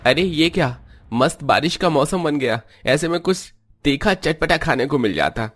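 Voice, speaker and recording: A man's voice: on the high side, lively intonation, normal speed, a bit bright. A man voicing a food-loving, self-centred Indian brother-in-law in a cartoon, casually bossy. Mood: craving, impatient.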